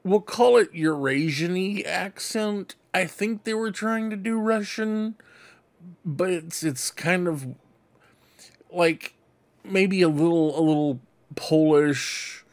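A middle-aged man hosting a fan vlog, giving casual show-and-tell commentary in a rambling, conversational way. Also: a little high. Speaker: host of a fan book club vlog. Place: cluttered room indoors at home. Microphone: desk microphone close in front.

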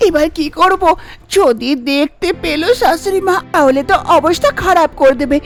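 A woman's voice: high voice, normal speed. A woman voicing a sneaky, greedy young village daughter-in-law in a cartoon fairy tale, scheming and muttering to herself. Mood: panicked.

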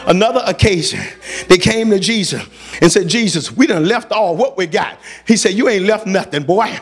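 A male preacher with a very high-pitched voice delivers a fiery sermon. He exhorts in plain colloquial speech, hammering phrases through repetition and moving between scripture and his own emphatic retellings. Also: expressive, normal speed, breathy edge. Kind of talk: fiery sermon with scripture readings and acted quotes.

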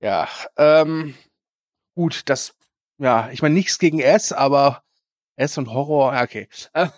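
A man's voice: mid-range voice, a little slow, dry recording, studio quality. A man co-hosting a casual film news podcast, talking with frequent hesitations and filler sounds. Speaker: podcast co-host.

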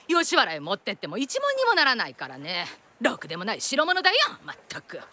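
A woman's voice: on the high side, wildly swooping pitch, fairly quick, background noise. An actress playing a brash, grumbling wife who is fed up with her husband, blunt and scornful, in a comic period radio drama.

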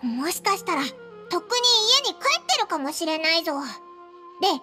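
A woman voicing a tiny, curious fairy companion in an anime game, with a squeaky childlike voice. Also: big pitch swings, brisk, over music. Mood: chirpy, curious. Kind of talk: Japanese anime game voice acting.

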